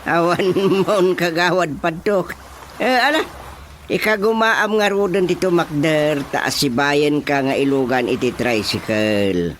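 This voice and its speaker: A man's voice: on the high side, a little slow, fairly bright tone, slight background noise. An actor with an Ilocano Filipino accent playing an exasperated, exclaiming village chairman in a radio comedy drama. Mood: teasing, exclaiming. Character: excitable village chairman, exasperated comic official.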